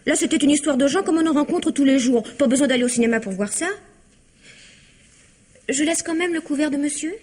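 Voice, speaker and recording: An actress playing Anna, a chatty, prying housemaid in an old radio drama, deferential but nosy. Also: female voice, sits high, brisk, long pauses, darkish tone, natural voice. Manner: deferential yet prying, gossipy.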